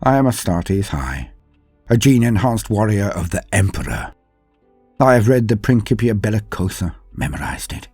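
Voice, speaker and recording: A man narrating a dramatic first-person war story as a grim, brooding space marine commander, grave and deliberate, with theatrical pauses. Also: fairly low pitch, big pitch swings, darkish tone, breathy edge, dry recording, soft background music. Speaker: lore channel narrator.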